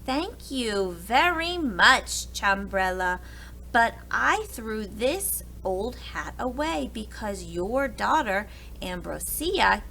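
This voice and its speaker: A woman reads as a kindly, weary storybook uncle who frets. The delivery is slow and earnest, with a bright tone and clear background noise. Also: on the high side, full character voice, poor audio. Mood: grateful. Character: weary, kindly, fretful uncle attached to his old hat.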